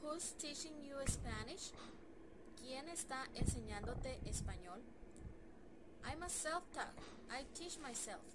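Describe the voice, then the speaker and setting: A woman slowly and clearly reading example phrases for language learners, played back from a recording. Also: high-pitched, expressive. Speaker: recorded course audio voice. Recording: noisy distant playback.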